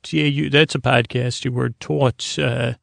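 Male sleep-podcast host: gravelly creak, meandering bedtime monologue, halting delivery, close dry sound. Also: mid-range voice, steady tone, no echo. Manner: hesitant, meandering, repeats words and trails off. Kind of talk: rambling bedtime monologue to help listeners sleep.

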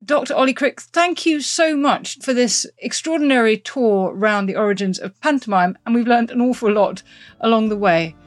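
A woman's voice: fairly high pitch, moderate pace, no echo. A woman hosting a podcast, speaking in a polished, presenterly interview style. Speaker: podcast host. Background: music.

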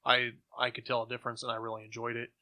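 Flat, very bright-voiced male podcast co-host. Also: mid-range voice, very fast. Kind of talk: casual discussion.

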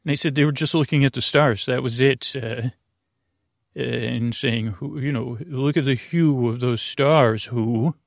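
Male sleep-podcast host. Heavy vocal fry, very dry sound, mid-pitched; hesitant, meandering delivery that drifts between asides. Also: dry recording. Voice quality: creaky, gravelly. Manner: halting, meandering, hesitant stop-start phrasing with drawn-out words. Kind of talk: rambling, tangent-filled bedtime podcast introduction.

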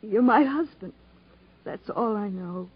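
An actress playing a devoted, pleading young country wife in a 1950s radio melodrama. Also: female voice, medium pitch, expressive, measured pace, slightly rough. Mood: tearful devotion. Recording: dull 1950s radio broadcast recording.

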